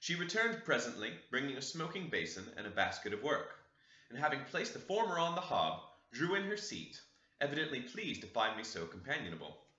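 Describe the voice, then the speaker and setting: Echoey, bright-voiced man reading a classic novel. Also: mid-range voice, big pitch swings, brisk. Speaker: audiobook reader. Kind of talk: scripted narration of a classic novel. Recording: roomy, echoey, slightly dull sound.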